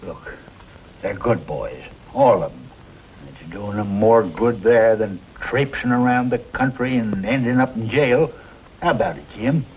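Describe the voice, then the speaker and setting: An actor playing a kindly, folksy old rancher in a 1940s western style. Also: male voice, fairly low pitch, unhurried, close to an everyday voice. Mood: earnest pleading. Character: kindly folksy old rancher who takes in stray boys. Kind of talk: 1940s western film acting. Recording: muffled, hissy old western soundtrack.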